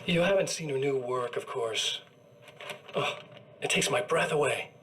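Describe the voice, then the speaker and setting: Game villain, evil twin; male actor, mid-pitched, gloating and coldly intimate. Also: measured pace, a bit bright, slight background noise, natural voice. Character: smug evil male doppelganger of a writer. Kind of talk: video game cutscene acting.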